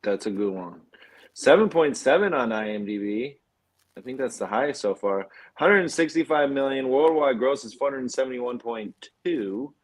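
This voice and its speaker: A man's voice: mid-range voice, lively intonation, moderate pace. A man co-hosting a film podcast in a casual, conversational style.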